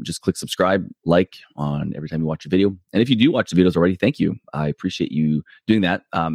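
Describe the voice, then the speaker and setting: Low-voiced man rambling on his podcast. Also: darkish tone, dry recording, studio quality. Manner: rambling, self-deprecating, many fillers and restarts. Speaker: podcast host. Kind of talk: casual solo podcast talk.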